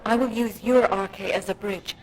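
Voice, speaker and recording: A woman voicing a composed, formal female tracker in a video game, with measured, careful phrasing. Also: medium pitch, fairly even intonation, a little hiss or hum, lightly acted, poor audio. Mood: focused, troubled.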